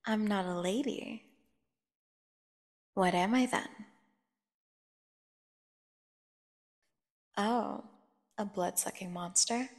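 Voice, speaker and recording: A woman playing a seductive, dangerous captive vampire in an ASMR fantasy horror roleplay, teasing and theatrical, with a creaky voice, speaking straight to the listener. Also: medium pitch. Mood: mocking, amused. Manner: teasing, slow, theatrical, addressing the listener directly. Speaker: audio roleplay performer. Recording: long echoing reverb.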